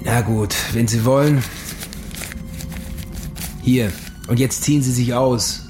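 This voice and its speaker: Actor as offhand, shady photographer, music behind. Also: male voice, medium pitch, slow pace, lightly acted. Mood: offhand, impatient. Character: suspicious male photographer, shady criminal accomplice. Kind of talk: crime radio drama acting.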